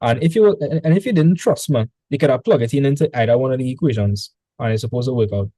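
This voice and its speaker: A man with a Trinidadian accent tutoring a student in math over a video call, relaxed and chatty. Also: mid-range voice, fast, no room sound. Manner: relaxed, chatty, repeats words when starting. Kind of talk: casual online tutoring session.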